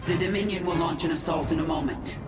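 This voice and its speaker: A woman's voice: fairly low pitch, fast, close to an everyday voice. Woman as a commanding, echoing alien swarm queen. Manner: authoritative, clipped orders. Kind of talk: video game cinematic acting. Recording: echoey, loud music behind.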